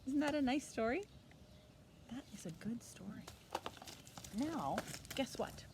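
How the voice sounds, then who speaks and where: A woman's voice: a little high, animated, measured pace, noisy background. A woman presenting a children's storytime lesson, encouraging young listeners with simple step-by-step instructions.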